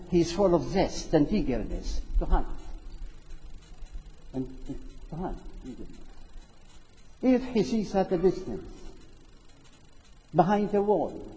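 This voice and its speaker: A man speaks in a very dark, mellow voice, giving a public talk. He is measured and repeats words for emphasis. The sound carries a long hall reverb. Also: a little high. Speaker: speaker on a panel. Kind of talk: unscripted public talk with a moral example. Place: large hall.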